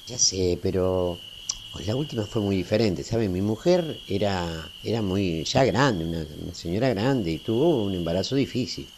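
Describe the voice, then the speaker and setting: Man acting chatty Argentine family man, low-voiced. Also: animated, normal speed, a little mellow. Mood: tender, chatty. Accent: Rioplatense Argentine Spanish. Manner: talkative, folksy, seeking agreement. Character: chatty, tender-hearted small-town family man, patient and homely. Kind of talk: amateur radio play acting. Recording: faint hiss with night crickets. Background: crickets, night ambience.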